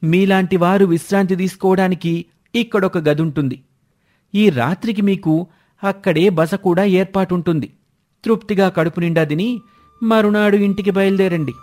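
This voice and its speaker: A man's voice: high-pitched, moderate pace, darkish tone, lightly acted. A man voicing a haughty, condescending royal minister in a cartoon moral story. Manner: condescending, officious.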